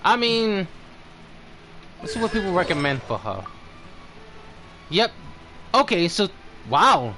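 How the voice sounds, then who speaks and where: Male game streamer, notably high voice, halting and conversational, slight noise behind him. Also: very animated. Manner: halting, thinking aloud. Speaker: game livestream host. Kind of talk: casual livestream chat.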